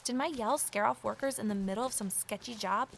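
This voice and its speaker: A woman's voice: fairly high pitch, brisk, creaky, slight background noise. A woman playing an earnest, uneasy amateur investigator, confiding and intent as she narrates an audio drama. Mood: confiding, tense. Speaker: narrator and lead character.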